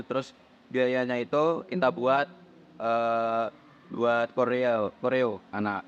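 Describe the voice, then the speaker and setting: A teenage young man, answering casual interview questions in a plain, step-by-step explanatory way. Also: mid-range voice. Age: teens. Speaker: podcast guest, student supporters' club leader. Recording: dull lo-fi audio, faint background noise.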